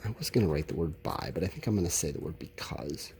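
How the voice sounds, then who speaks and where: A man's voice: very deep voice, lively intonation, fast, vocal fry, darkish tone, a little hiss or hum. A man teaching a recorded lesson, talking informally to his students and explaining things in a candid, conversational way.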